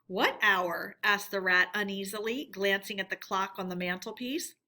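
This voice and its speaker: A woman's voice: mid-range voice, moderate pace, pushed and bright. A woman teacher reading a children's story aloud expressively and putting questions to her pupils.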